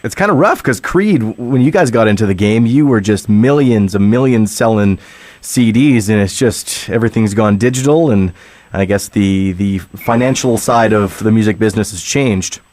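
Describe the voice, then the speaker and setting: A man's voice: fairly low pitch, moderate pace, darkish tone, dry recording. A man hosting a radio interview, asking questions in a conversational way. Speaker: radio host.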